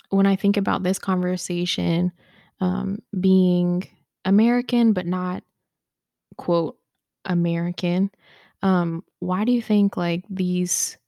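A young woman from Ethiopia co-hosting a casual podcast, speaking haltingly with lots of fillers while she searches for words. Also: fairly low pitch, vocal fry, darkish tone, no echo, clean studio sound. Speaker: podcast co-host. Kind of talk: casual conversation.

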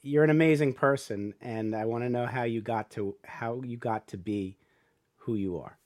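A man hosting an interview podcast, halting and repeating words as he frames his questions. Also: mid-range voice, darkish tone. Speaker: podcast host. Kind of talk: interview with a guest.

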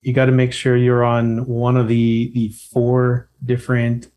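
A man's voice: mid-range voice, monotone, measured pace, dark tone, smooth. A man talking as a podcast guest, explaining things in a chatty, lightly joking way. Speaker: guest expert on loans. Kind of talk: casual podcast chat.

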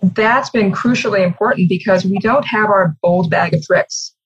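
Husky-voiced woman on a muffled call. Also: medium pitch, moderate pace. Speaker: communications executive, interview guest. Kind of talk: conversational interview answers. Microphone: video call.

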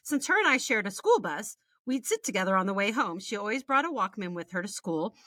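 A woman's voice: mid-range voice, bright, forceful tone, dry recording. An American woman in her forties, a podcast co-host reading a personal story aloud with candid, wry, chatty asides and casual swearing. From Texas, United States. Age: 40s.

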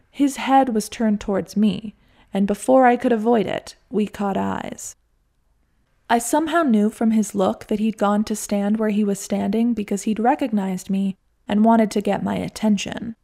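A woman tells her own story to her listeners in a wry, reflective tone, poking fun at herself. Her voice is mellow and dark, with a gravelly fry running through it at an ordinary pitch. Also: normal speed. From United States. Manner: wry, reflective, self-deprecating. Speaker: podcast narrator. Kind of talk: scripted personal-story narration.

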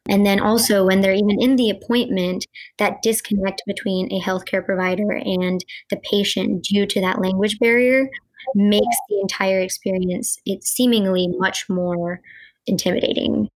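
Female, 20, American accent, average pitch, conversational student interviewee. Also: steady tone, moderate pace, rough recording. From North Carolina, USA. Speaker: podcast guest, student and interpreter. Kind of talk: conversational interview, personal account.

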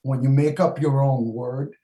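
A man hosts a podcast in a loose, excited way, often restarting his questions. His voice sits in an ordinary middle register. The recording has a noticeable roomy echo. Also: medium pitch, measured pace. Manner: loose, stammering restarts, hype-man enthusiasm. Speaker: podcast host. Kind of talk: casual podcast chat.

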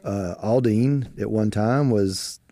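A man's voice: fairly low pitch, takes it slowly, mellow, no room sound. A man hosting a panel conversation with superintendents, talking loosely with frequent restarts. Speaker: interview host. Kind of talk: panel discussion.

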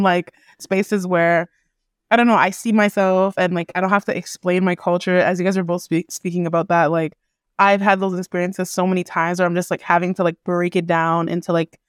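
A woman's voice: fairly low pitch, dry recording, studio quality. A young woman from Canada and the Caribbean, chatting casually on a podcast, laughing often and filling her speech with "like". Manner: laughs often, many filler likes. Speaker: podcast guest. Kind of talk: casual group conversation.

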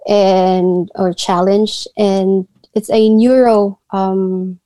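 Mellow-voiced Filipino woman, hesitant. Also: mid-range voice, fairly even intonation, drawn-out words, no echo. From Philippines. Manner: hesitant, many restarts and fillers. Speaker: guest. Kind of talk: casual interview conversation.

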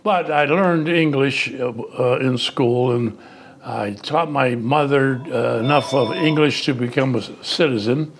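Gravelly man in his nineties. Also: mid-range voice. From Youngstown, Ohio. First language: Italian. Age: 90s. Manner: halting, searching for words, measured. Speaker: interview guest. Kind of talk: recalling his childhood in conversation. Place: his home.

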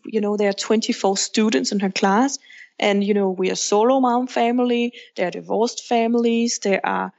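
A woman's voice: medium pitch, fairly even intonation, normal speed, darkish tone, muffled recording. Danish-accented woman, frank and plainspoken. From Denmark. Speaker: guest, author. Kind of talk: remote podcast interview.

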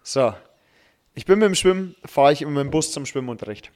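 A man with a slightly high voice co-hosts a casual podcast. He tells anecdotes in a joking way, often acting out little asides as he goes. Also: animated, fairly quick, a little mellow. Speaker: podcast co-host, school teacher.

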